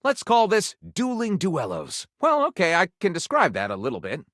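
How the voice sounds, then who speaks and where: Dramatic wry storyteller from a game, voice a bit high and swooping all over, super dry close recording. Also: male voice, wildly swooping pitch, fairly bright tone, no echo, full character voice. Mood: playful, wry. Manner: theatrical, wry, savouring titles with dramatic pauses. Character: theatrical male storyteller, a datable game character titling his tales. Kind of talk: dramatic scripted storybook narration.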